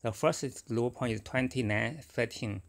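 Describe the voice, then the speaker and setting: A middle-aged man whose first language is Chinese speaks in a mid-pitched voice with a gravelly edge. He talks haltingly, stopping and restarting between fillers. He walks through a chart in a casual talk. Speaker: forex trader. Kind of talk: casual screen-recorded trading talk.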